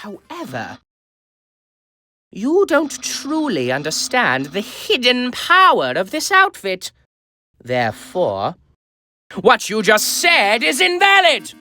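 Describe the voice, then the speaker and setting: Game character: vain, naive skeleton showman. Slow, pompous delivery, extremely animated pitch, theatrical cartoon bluster. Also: full character voice. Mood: boastful. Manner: grandiose, theatrical, swinging from hushed asides to loud exclamations. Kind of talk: amateur video game character dub. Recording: voice over background music.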